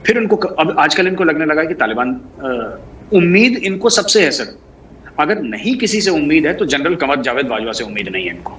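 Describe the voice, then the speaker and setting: A man with a slightly high voice argues politics as a guest commentator. He is emphatic, stacking rhetorical questions and repetitive lists, with clear noise behind him. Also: very animated, a little fast, a bit bright. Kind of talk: political discussion, answering a host. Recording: clearly noisy background.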